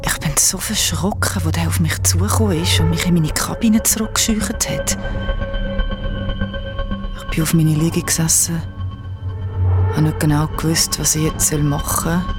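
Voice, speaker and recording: A woman's voice: low voice, wildly swooping pitch, a little slow, pauses often, over music, exaggerated voice. A woman with a Swiss German accent playing a shaken, insistent young traveller who narrates her ordeal in a radio drama. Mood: shaken, tense.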